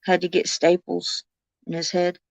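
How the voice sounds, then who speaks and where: A woman co-hosting a live stream, chiming in with short, unhurried remarks. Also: fairly low pitch, monotone, gravelly, no echo. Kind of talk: casual live-stream chat.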